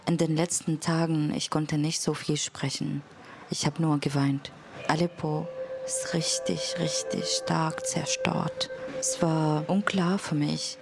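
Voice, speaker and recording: Slow, flat-voiced woman over music. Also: deep voice, creaky. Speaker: interviewee. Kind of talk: personal account.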